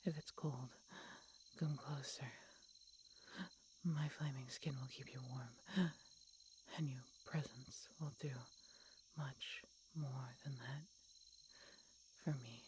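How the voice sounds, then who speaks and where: Woman voicing a low, whispery, ancient wounded dragoness. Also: steady tone. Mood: gentle, content. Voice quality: breathy, whispery. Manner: slow, halting, tender delivery with long pauses. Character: ancient injured female dragon, dying, gentle and tender. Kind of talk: audio roleplay acting, addressed intimately to the listener. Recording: clear background noise, night insects. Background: night ambience with crickets.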